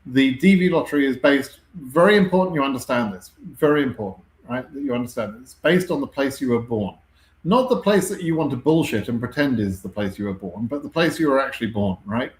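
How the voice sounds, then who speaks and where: A man's voice: medium pitch, lively intonation, a little fast, echoey room, poor audio. A man with a British accent hosting a live question-and-answer stream, talking conversationally with frequent ums and self-corrections. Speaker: host answering viewer questions.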